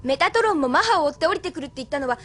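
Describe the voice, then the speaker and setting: A woman's voice: high voice, expressive, very fast, noisy background. A woman acting a spirited, curious, outspoken young island woman in a Japanese audio drama. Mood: pouting.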